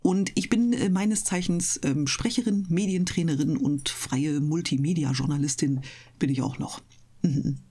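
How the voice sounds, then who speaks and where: A woman who works as a speaker, chatting casually and enthusiastically with trained, clear articulation. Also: sits low, moderate pace, warm tone. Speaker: host of an audio channel and interview guest, professional speaker. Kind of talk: casual interview chat.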